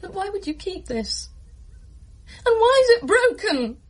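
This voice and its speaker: High-voiced, fragile despairing woman. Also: very animated, measured pace, heavily acted. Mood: hurt, accusing. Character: fragile, abandoned, despairing woman, obsessive in love. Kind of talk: radio drama acting.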